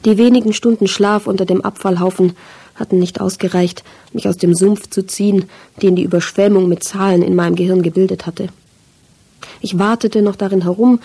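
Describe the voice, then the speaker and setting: A woman's voice: medium pitch, gravelly, mellow, slightly rough. A woman reading a literary first-person story as a scripted audiobook narration.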